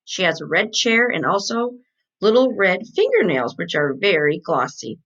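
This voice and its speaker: A woman's voice: on the low side, very animated, moderate pace, fairly bright tone, dull audio. A woman voicing a chatty, indignant kindergarten girl from a storybook, with emphatic childish phrasing.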